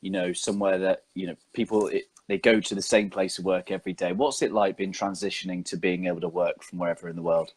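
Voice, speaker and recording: A man with a fairly low, rough-edged husky voice. He hosts an interview show and speaks in a casual, conversational way as he puts questions to a guest. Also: steady tone, fast talker, few pauses. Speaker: interview podcast host.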